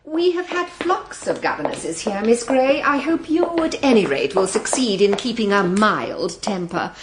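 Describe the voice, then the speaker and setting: A woman's voice: mid-range voice, big pitch swings, normal speed, background noise, close to an everyday voice. An actress playing a haughty, condescending middle-aged English mother with an upper-class accent and a pompous manner in a period audio drama. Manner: pompous, patronising.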